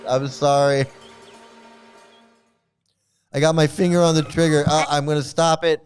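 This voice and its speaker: Reaction host, male, somewhat high and smooth voice, laughs a lot and circles back on his own words. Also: little pitch movement, unhurried, soft background music. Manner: laughs often, repeats phrases, stammering restarts. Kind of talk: casual reaction commentary.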